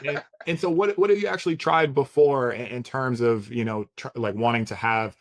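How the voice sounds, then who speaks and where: A man's voice: medium pitch, brisk, no room sound. Friendly man asking interview questions. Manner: friendly, asks rambling questions with fillers. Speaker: company interviewer.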